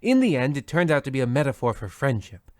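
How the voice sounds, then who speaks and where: A man playing the droll host of a spooky old-time radio show parody, signing off in deadpan scripted narration. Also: mid-range voice, quick pace, no echo, clean studio sound, close to an everyday voice.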